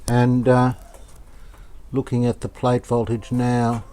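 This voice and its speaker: A man's voice: medium pitch, takes it slowly, clean tone. A man gives casual hands-on repair commentary, thinking aloud in a tentative way. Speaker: hobbyist radio restorer presenting a repair video. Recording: steady background noise.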